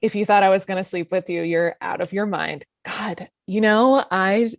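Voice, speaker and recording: A woman's voice: mid-range voice, moderate pace, vocal fry, dry recording, natural voice. Woman acting scornful TV executive, dry close sound. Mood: indignant. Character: scornful, vindictive female television network executive. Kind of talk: casual comedy script table read.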